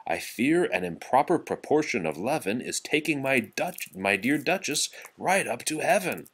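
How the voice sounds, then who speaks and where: A man's voice: mid-range voice, very animated, normal speed, fairly bright tone, husky, pitched above the performer's own voice. A man voicing a worried, pompous duke in a children's storybook, speaking in flowery formal rhyme. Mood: anxious. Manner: rhyming, flowery formal speech.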